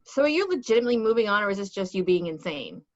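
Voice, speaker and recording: A woman's voice: medium pitch, big pitch swings, racing, lightly acted. A woman playing a peppy nineteen-year-old younger sister in a table read, needling and incredulous. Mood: scolding. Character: cute, peppy teenage barista, scolding younger sister. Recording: dull, lo-fi microphone sound.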